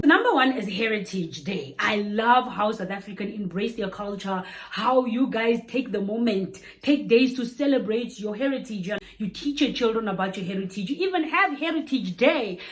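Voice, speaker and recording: A young Congolese woman with a Congolese accent, chatting animatedly to camera in a casual vlog, often laughing. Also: medium pitch. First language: Kikongo-speaking family; Swahili. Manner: animated, chatty, breaks into laughter and mimicked voices. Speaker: vlogger.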